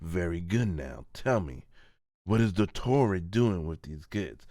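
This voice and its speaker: A man's voice: deep voice, animated, slow pace, warm tone, dry recording, clean studio sound. A man voicing a cold, threatening vigilante interrogator in a manga voice-over, terse and controlled. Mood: cold satisfaction. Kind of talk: amateur manga voice-over acting.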